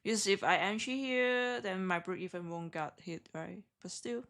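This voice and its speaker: A woman from Hong Kong with a heavy Hong Kong accent, chatting with viewers on a live trading stream in halting, self-correcting English. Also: medium pitch, fairly bright tone. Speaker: day trader streaming live.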